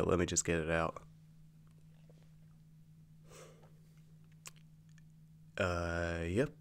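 A man acting a weary, easygoing werewolf boyfriend in an ASMR audio roleplay, speaking in a halting, casual, understated way with dry affection. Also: sits low. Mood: dismayed, weary. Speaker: roleplay voice actor. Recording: clearly audible background hum.

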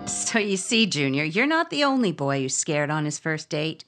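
A woman playing a gentle, patient wife and mother in a 1950s radio comedy, with a soothing, affectionate manner. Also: low voice, sing-song, moderate pace, over loud music. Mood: reassuring. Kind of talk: 1950s radio sitcom acting.

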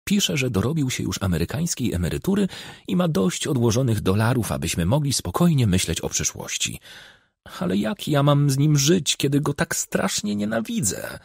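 A man's voice: mid-range voice, wildly swooping pitch, moderate pace, vocal fry, breathy edge, no echo, clean studio sound, pitched above the performer's own voice, heavily acted. A man voicing a troubled, discontented young woman with a complaining, pleading manner in an audiobook.

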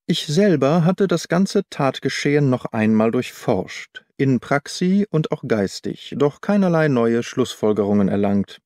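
A man reading literary audiobook narration in a measured, carefully articulated storytelling manner. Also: mid-range voice, big pitch swings, mellow, no echo, clean studio sound. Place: dry studio.

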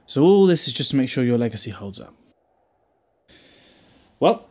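A man's voice: mid-range voice, big pitch swings, normal speed, darkish tone. A British man playing a glib, protective time-travelling hero in an audio drama, wry and chatty with a scolding streak. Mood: stern. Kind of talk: fan audio drama acting.

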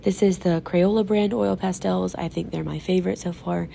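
A woman's voice: fairly low pitch, steady tone, moderate pace, vocal fry, mellow, slight background noise. A woman art teacher, sick and stuffed up, patiently talking young kids through a painting demo as she works. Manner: patient, instructive, talks while working. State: sick, congested and sore-throated. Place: classroom table. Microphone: off-camera voice over an overhead tabletop camera.

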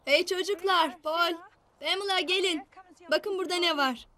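A gentle, coaxing guide for small children in a children's show dub. The voice is very high, with music underneath. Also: female voice, normal speed. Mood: inviting. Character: gentle grown-up guide leading young children.

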